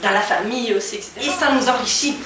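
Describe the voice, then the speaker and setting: A woman's voice: fairly high pitch, very fast, crowd noise. Young woman in an echoey room. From central Italy. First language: Italian. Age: young adult. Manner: thoughtful, searching for words as she goes. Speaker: interviewee, cultural association co-founder. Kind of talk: casual interview talk. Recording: echoey room, distant mic, noisy.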